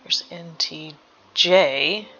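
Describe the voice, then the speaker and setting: A woman giving casual, analytical game reaction commentary, hesitant and thinking out loud. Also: lowish voice, extremely slow, lo-fi sound, soft background music. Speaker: reaction video host.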